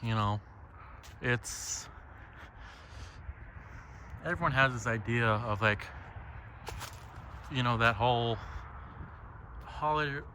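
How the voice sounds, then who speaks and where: A man thinking aloud in a halting, casual, reflective monologue while walking outside on a trail. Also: mid-range voice, pushed and bright. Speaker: solo vlogger. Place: outdoors, walking on a trail by a lake. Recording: outdoor ambient noise.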